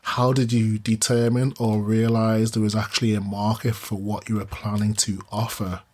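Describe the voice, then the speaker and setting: A man's voice: lowish voice, little pitch movement, normal speed, darkish tone. A man hosting an interview podcast, asking long, polite and fairly formal questions. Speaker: podcast host.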